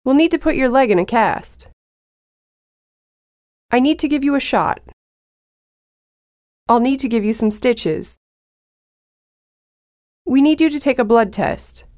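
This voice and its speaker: Slow, lively-pitched woman reading lesson phrases. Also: dry recording, studio quality. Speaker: language lesson narrator.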